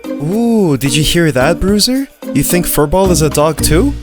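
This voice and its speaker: An actor voicing a sweet, naive, excitable male pit bull who talks and narrates in a visual novel. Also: medium pitch, unhurried, music in the background, close to an everyday voice. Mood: eager, cheerful. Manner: eager, chirpy, childlike wonder. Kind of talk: character dialogue and first-person narration in a visual novel.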